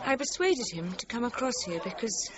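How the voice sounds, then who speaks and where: An actress playing a shrewd, accusing British journalist in a crime radio drama, controlled and probing. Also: female voice, a little high, sing-song, normal speed. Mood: measured, probing. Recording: dull lo-fi sound with pub chatter behind.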